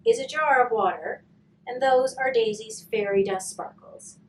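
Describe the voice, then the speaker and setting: A woman's voice: on the high side, a little slow, lightly acted. A woman voicing a moody, sulky young boy with emphatic complaining in a children's storybook. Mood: matter-of-fact. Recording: roomy, distant mic, faint noise.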